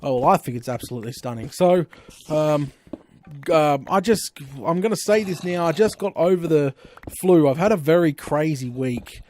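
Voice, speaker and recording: An Australian man chatting casually during a live stream of his game, rambling through stories with lively slang exclamations. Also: on the high side, normal speed, a little hiss or hum. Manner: chatty, animated storytelling with frequent slang exclamations. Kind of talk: rambling casual chat while playing.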